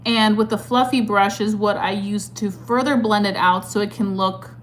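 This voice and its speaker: A woman's voice: medium pitch, steady tone, moderate pace. A chatty woman in her twenties with a mid-range voice, talking through her makeup routine and answering viewers. She is upbeat and easygoing, full of "like" and "um", with a faint hum behind her. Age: 20s. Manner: chatty and upbeat, filler-heavy with many "like" and "um". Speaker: beauty creator answering live chat. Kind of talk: casual chat while doing makeup.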